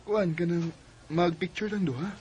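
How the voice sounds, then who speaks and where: A man's voice: sits high, moderate pace, a little mellow, breathy edge. A man playing a young suitor, coaxing and casually fickle, in a Philippine radio drama.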